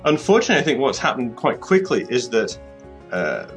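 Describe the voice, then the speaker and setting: A man's voice: medium pitch, very animated, a little fast, soft background music. A middle-aged man from the UK, answering interview questions thoughtfully and often repeating words as he restarts. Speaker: author guest.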